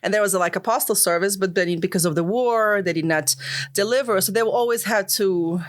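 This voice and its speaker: A woman's voice: medium pitch, a little fast. A woman, a podcast guest telling her story in a chatty way, laughing often and restarting sentences. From Croatia. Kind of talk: casual interview storytelling. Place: decorated podcast set. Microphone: studio podcast mic, on camera.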